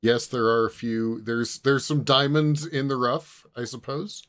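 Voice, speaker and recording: A man's voice: mid-range voice, steady tone, unhurried, fairly bright tone. A man hosting a podcast in casual chat, talking in a hesitant, meandering way. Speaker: podcast host.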